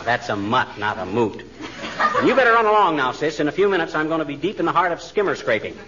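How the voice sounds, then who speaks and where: A man's voice: on the high side, sing-song, fast, a loud crowd. An American man playing a folksy, wisecracking middle-aged husband, blustery and easily flustered, in 1940s radio comedy style. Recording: noisy, dull vintage radio recording with clicks.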